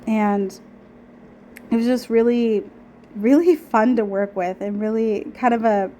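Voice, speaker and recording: A young woman talking casually about her painting, a bit nasal and raspy from a cold, chatty and self-deprecating with lots of ums and likes. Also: fairly high pitch, a little slow, mellow. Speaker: art vlogger. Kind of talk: casual narration over painting footage.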